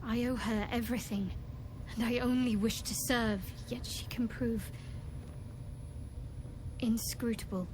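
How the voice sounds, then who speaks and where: An actress playing a guarded, devout cleric in a fantasy video game, speaking in a measured, confiding and solemn way. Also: female voice, a little high, steady tone, noisy background, lightly acted. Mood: solemn, wistful. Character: guarded, devout female cleric companion, secretive and earnest.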